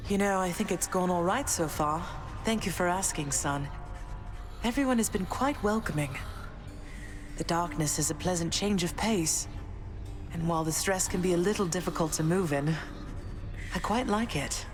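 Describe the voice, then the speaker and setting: An actress as a low-voiced, composed goddess queen. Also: animated, normal speed, long pauses, over music, natural voice. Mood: reflective. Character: composed, gracious goddess queen and mother of a grown son. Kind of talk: video game voice acting.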